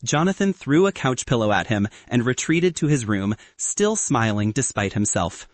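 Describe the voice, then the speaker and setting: A man narrating a romantic story as an audiobook, reading with expressive storytelling. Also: mid-range voice, normal speed, no room sound.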